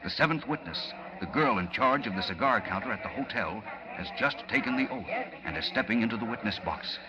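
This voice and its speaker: An actor as a solemn serial narrator, delivering dramatic scripted narration in 1940s radio style. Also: male voice, fairly low pitch, lively intonation, a little fast, a loud crowd, close to an everyday voice. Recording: dull old radio, music behind.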